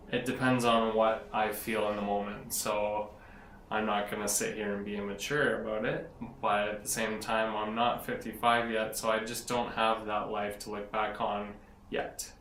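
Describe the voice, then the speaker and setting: A man in his late twenties, answering interview questions in an earnest, rambling way, often pausing to search for words. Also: lowish voice, a bit bright. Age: 20s. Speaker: interviewee, blogger. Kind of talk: casual interview. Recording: echoey room from a distance, faint noise.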